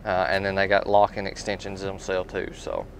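A man in his thirties with a lowish voice talks in a folksy, rambling way about products. It is casual dealer talk, with some background noise. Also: completely flat delivery, fairly quick, low-quality recording. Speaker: tool truck dealer. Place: inside a tool truck.

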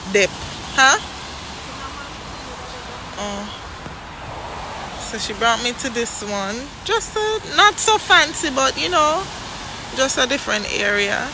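A young woman filming herself on a phone at arm's length, talking in an easy, upbeat way to her viewers. She speaks slowly, and loud outdoor noise rushes behind her voice. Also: medium pitch. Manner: chatty, upbeat, talking to camera. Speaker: vlogger. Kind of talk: casual travel vlog. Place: outdoors by a roadside and beach. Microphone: phone held at arm's length.

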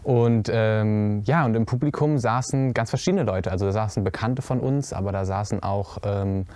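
Stumbling teenage boy, low voice. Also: moderate pace, a little mellow, no room sound. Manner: stumbling, repeats words, laughs it off. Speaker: young cast member. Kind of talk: panel discussion answer. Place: stage with curtain, indoors.

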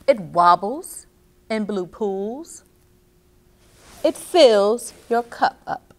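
A middle-aged woman reading and explaining to children as a story time host, expressive and speaking directly to her young listeners. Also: mid-range voice, drawn-out words. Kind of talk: children's read-aloud and instruction. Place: small indoor reading nook with bookshelves.

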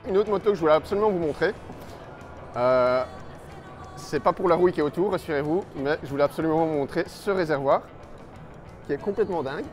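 Higher-voiced man presenting, music behind. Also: fast talker, pauses often. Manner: chatty, addresses the viewer directly. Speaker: motorcycle magazine video presenter. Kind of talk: casual event report commentary.

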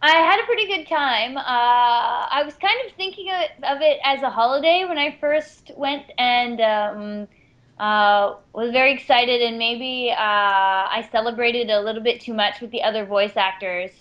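A woman's voice: on the high side, unhurried, fairly bright tone. Chatty Canadian woman on a muffled call. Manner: chatty, self-deprecating, rambling with fillers. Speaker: guest voice actress. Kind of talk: casual interview chat.

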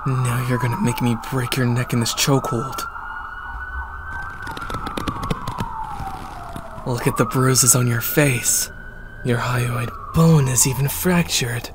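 A man's voice: mid-range voice, fairly even intonation, a little slow, very noisy, lightly acted. A man playing a jealous, controlling stalker in an ASMR roleplay, with a cold, clipped, threatening delivery. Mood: cold blame. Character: jealous, controlling, menacing young male stalker.

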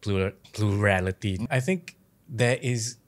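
A man's voice: on the low side, a little slow. A middle-aged man with a Singaporean English accent, reflecting thoughtfully and arguing through analogy, on a studio mic. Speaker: panel guest. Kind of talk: reflective casual discussion. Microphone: boom-arm studio mic.

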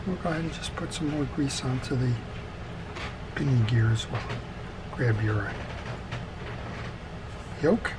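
A man's voice: mid-range voice, unhurried, long pauses. A man giving a methodical, casual step-by-step repair tutorial as he works at a workbench. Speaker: fishing reel repairer presenting a tutorial. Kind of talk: hands-on instructional demonstration, casual step-by-step talk. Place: indoors at a wooden workbench. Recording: steady background noise.

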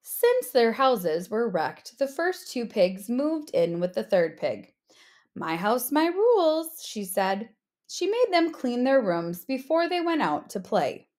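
A woman narrating a children's storybook aloud in an expressive read-aloud style. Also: on the high side, slow. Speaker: storybook reader, teacher.

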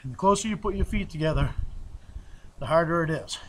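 A man's voice: fairly high pitch, brisk, fairly bright tone, a little hiss or hum. A middle-aged man demonstrating a workout in a backyard, plain-spoken and sniffling from allergies, recorded on a distant camera mic. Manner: plain-spoken, repeats himself, counts reps aloud. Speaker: home fitness instructor. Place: outdoor backyard lawn.

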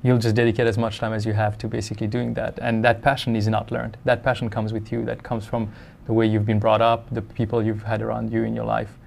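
Hesitant, gravelly-voiced man in an interview. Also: lowish voice, monotone, fast talker, darkish tone, a little hiss or hum. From Switzerland. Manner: hesitant, repeats words while thinking. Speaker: game designer. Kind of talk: interview answers.

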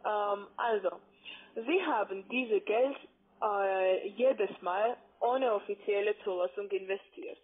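A woman with a foreign accent, speaking a second language hesitantly as she explains a sales pitch over the phone, often adding questioning checks. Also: slow. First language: not German, speaks it as a second language. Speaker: call-centre caller posing as financial adviser. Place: phone call. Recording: tinny narrow-band phone line.

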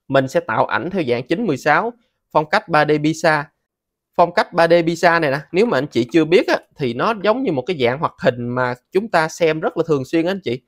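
Tutorial host: man, thirties, Southern Vietnamese accent, slightly high voice, dry close sound, conversational step-by-step delivery. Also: dry recording. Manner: conversational, frequent sentence-ending particles addressing viewers. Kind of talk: casual step-by-step screen tutorial.

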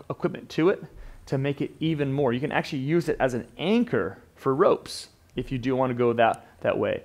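A man in his thirties giving an unscripted, enthusiastic walkthrough of gym equipment as a fitness educator, standing in an indoor gym studio and talking to camera with emphatic stresses and quick restarts. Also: medium pitch. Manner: enthusiastic, emphatic, repeats words when restarting.

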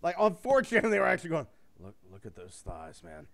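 A man co-hosting a casual podcast, loud and profane, joking and mocking as he talks. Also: on the high side, huge pitch swings, fairly quick, vocal fry. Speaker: podcast co-host. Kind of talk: crude casual podcast chat.

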